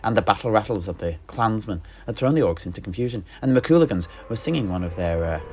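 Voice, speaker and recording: A man narrating a fantasy battle tale in character, theatrically and with deliberate, dramatic pauses. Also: on the low side, lively intonation, darkish tone. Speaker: storyteller guest in an in-character fantasy chat.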